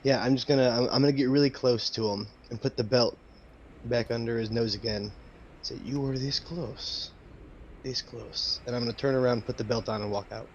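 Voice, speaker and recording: A man's voice: mid-range voice, fairly even intonation, normal speed, lo-fi sound, slight background noise. A man playing a tabletop game, talking casually and checking in with the table. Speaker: player.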